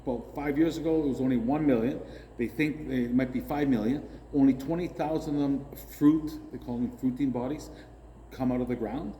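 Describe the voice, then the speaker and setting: A man's voice: mid-range voice, a little fast, mellow. A man chatting enthusiastically as a guest, telling long stories with many tangents. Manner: enthusiastic, tangent-prone storyteller. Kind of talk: casual chat. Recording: long hall reverb, faint noise.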